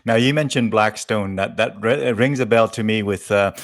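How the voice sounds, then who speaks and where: Gravelly man hosting. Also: on the low side, moderate pace. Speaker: talk show host. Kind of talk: casual conversational interviewing.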